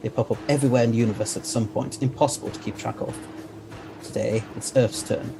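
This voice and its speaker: A man playing a brisk, authoritative time-travelling hero who explains things with assurance in an audio drama. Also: mid-range voice, fairly even intonation, gravelly, background noise, natural voice. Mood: grave, urgent.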